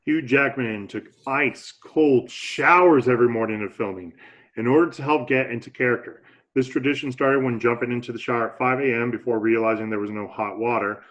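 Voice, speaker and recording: A man's voice: mid-range voice, steady tone, brisk, a bit bright. A man co-hosting a movie podcast in casual chat, laid-back and hesitant, often restarting his sentences. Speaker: podcast co-host.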